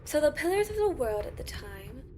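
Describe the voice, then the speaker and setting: A woman playing a solemn, ancient lore-keeper who gravely tells old tales in a fantasy audio drama. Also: high-pitched, sing-song, moderate pace, darkish tone. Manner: grave, storytelling. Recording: music behind the voice.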